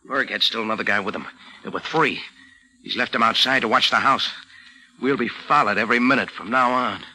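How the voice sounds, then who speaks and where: An American actor playing a slick, defensive small-time crook in an old radio crime drama, glib and scheming. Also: male voice, mid-range voice, a little fast, sharp tone, low-quality recording. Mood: tense, scheming.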